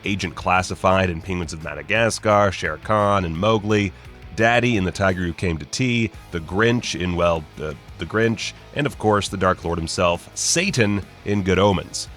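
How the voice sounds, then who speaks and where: A man's voice: sits low, normal speed, a bit bright, faint music behind. A man narrating a scripted list video as host, with wry, sarcastic, joking asides. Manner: wry, sarcastic, jokey mock-casual delivery.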